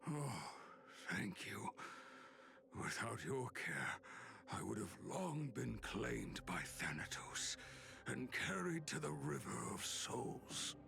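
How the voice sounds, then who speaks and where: Creaky, dying old priest. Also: male voice, mid-range voice, big pitch swings, takes it slowly, crowd noise, heavily acted. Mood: weary, grateful. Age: older. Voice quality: breathy, weak. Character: ailing old male priest, solemn, fading and grateful. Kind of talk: video game voice acting.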